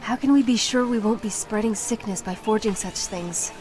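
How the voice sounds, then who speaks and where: Woman as a resolute warrior leader, mid-pitched. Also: background noise, lightly acted. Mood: questioning. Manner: measured, businesslike, firm. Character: serious, resolute young female warrior leader. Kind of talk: video game cutscene acting. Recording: music behind the voice.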